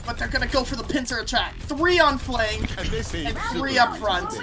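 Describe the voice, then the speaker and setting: A woman giving playful color commentary on an esports match, quick to react and tease her co-casters. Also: on the high side, lively intonation, crowd noise.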